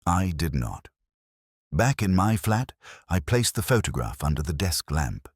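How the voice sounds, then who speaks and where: A man voicing a melancholy, brooding English police detective, narrating a scripted mystery in a measured, solemn, literary manner. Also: deep voice, very animated, no echo, clean studio sound, full character voice. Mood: melancholy, reflective. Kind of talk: scripted first-person detective story narration.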